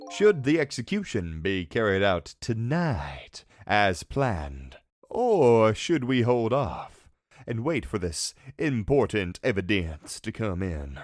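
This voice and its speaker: A man voicing a flamboyant, theatrical police official in a game fandub, drawling in a smoothly mocking, playful way. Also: lowish voice, no echo. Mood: teasing, musing.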